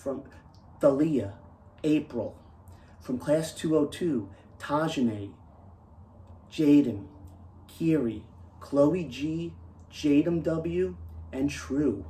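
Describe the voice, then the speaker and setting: A man's voice: on the high side, drawn-out words, long pauses, dark tone, slight background noise. A man speaking as a teacher to schoolchildren in a plain, encouraging way, recorded at some distance from the microphone. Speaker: teacher and read-aloud host. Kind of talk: addressing schoolchildren on video.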